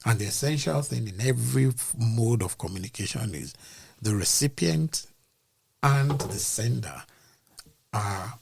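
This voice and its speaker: A man who is a podcast guest, explaining ideas in a deliberate way and using everyday comparisons. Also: medium pitch, lively intonation, creaky, a little mellow, breathy edge.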